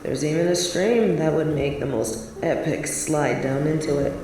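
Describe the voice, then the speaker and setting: A woman's voice: low-pitched, moderate pace, dark tone, a little hiss or hum, close to an everyday voice. A woman acting a young biker on a date, wistful and defiant, confiding her memories in a scripted audio roleplay. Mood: fond, wistful. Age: young adult. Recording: very echoey, distant sound with music behind. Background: music.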